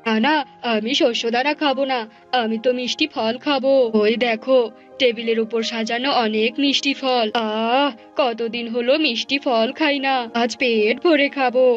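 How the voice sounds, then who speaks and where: A woman's voice: on the high side, fairly even intonation, unhurried, dull audio, soft background music, lightly acted. A woman voicing a greedy, lazy male bird husband in a cartoon fable, with a gloating, blustering manner. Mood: greedy, content. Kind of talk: animated fable character acting.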